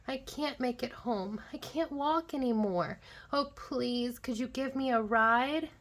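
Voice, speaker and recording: A woman voicing eager, adoring small children from a storybook, pleading in an excited way. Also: on the high side, takes it slowly. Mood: tired, pleading.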